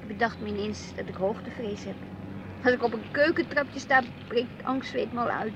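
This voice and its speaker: Actress as a high-voiced restless wife, radio drama. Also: female voice, moderate pace, noisy background, lightly acted. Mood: sheepish. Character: restless married woman yearning for adventure, impatient with husband.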